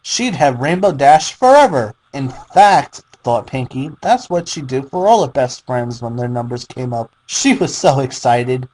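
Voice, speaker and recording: A man reading fan fiction as a theatrical, deliberate dramatic narration. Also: mid-range voice, expressive, a little mellow, low-quality recording.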